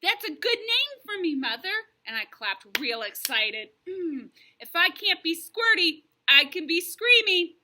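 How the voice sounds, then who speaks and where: A woman voicing an excitable, mischievous little girl who narrates a children's storybook theatrically, in childlike grammar. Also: fairly high pitch, measured pace, background noise. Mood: excited, proud. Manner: theatrical, childlike grammar, dramatic shrieks and hushes. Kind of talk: animated children's storybook reading.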